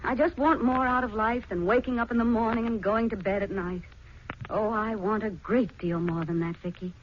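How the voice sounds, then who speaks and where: An actress playing a sensitive, cultured married aunt who speaks earnestly and articulately in a 1940s radio drama. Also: female voice, a little high, fairly even intonation, moderate pace, natural voice. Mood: hurt, earnest. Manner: earnest, articulate, impassioned phrasing. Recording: dull, noisy 1940s radio recording.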